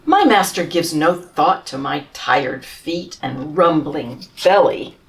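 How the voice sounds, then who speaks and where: A woman reading a children's storybook in the voice of a humble, tired male camel who tells his own tale slowly and tenderly. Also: sits low, gravelly, far from the mic, voice pushed down, heavily acted. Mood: weary. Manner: slow, tender storybook telling with lively rises.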